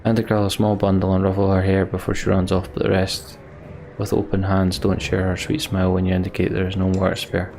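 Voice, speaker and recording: A man's voice: low voice, monotone, fairly quick, creaky, darkish tone, faint music behind. A man hosting a let's play, reading game narration aloud and adding his own commentary.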